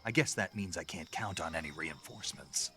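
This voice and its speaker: An actor playing a gruff, world-weary special forces soldier with a gravelly voice and a terse, sardonic manner in a video game. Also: male voice, deep voice, lively intonation, fairly quick, pushed and bright, exaggerated voice. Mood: dry, skeptical. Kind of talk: scripted video game dialogue.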